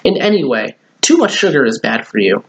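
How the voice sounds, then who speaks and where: A man's voice: mid-range voice, big pitch swings, a little fast, slight background noise, poor audio. A man voicing a firm, sensible, exasperated mother who scolds matter-of-factly in a storybook reading. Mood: scolding.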